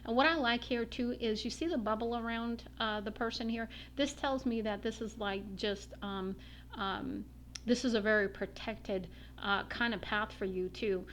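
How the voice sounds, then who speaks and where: A woman giving a casual tarot reading, her voice slightly raspy from a long day, meandering with many ums and uhs. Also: a little high, normal speed, fairly bright tone, a little hiss or hum. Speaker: tarot reader.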